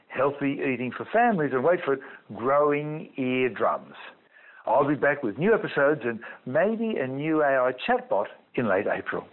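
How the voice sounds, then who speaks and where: Upbeat man on a tinny phone line. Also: mid-range voice. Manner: upbeat, playful. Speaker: podcast host. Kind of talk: episode announcement.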